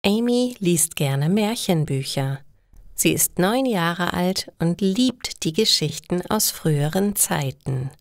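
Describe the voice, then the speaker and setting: A woman narrates simple stories for learners. Her voice sits slightly low and moves with lively intonation. She takes her time over each careful phrase, in a very dry recording. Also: takes it slowly, no room sound. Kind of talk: scripted storytelling narration for learners.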